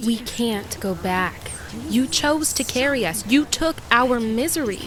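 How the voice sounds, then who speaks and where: A woman acting as a relentless soul of the dead in a radio play, speaking in a menacing, deliberate, accusing way. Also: on the high side, lively intonation, crowd noise.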